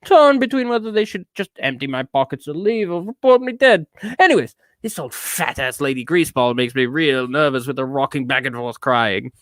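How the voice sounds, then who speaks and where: A man's voice: mid-range voice, expressive, moderate pace, fairly bright tone, no room sound, studio quality, lightly acted. Man putting on a cranky, edgy, theatrical ranter. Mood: scornful griping. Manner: theatrical, cranky, put-on shifting accent. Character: edgy, bitter, ranting neurotic man telling a travelogue. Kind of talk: dramatic reading of a rambling rant.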